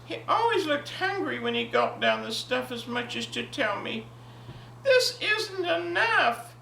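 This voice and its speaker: A woman of ninety, a grandmother with a jovial, expressive manner, talking and telling stories to her family. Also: a little high, moderate pace, creaky. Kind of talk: casual reminiscing and animated story reading for family. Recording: echoey, distant home recording with faint noise.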